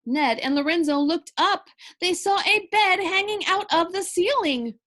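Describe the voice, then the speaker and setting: A woman reading a children's book aloud in an animated, deliberate storybook delivery. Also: extremely high pitch.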